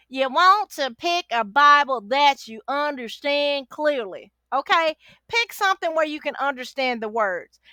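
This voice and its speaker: A woman with a forceful, high voice teaches like a chatty life coach. She urges the listener on with repeated phrases and a sassy, familiar tone. The sound is close and dry. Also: no echo. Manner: exhorting, repeats phrases for emphasis; sassy, familiar, uses endearments like baby and honey. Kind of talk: casual, chatty Bible study teaching to camera.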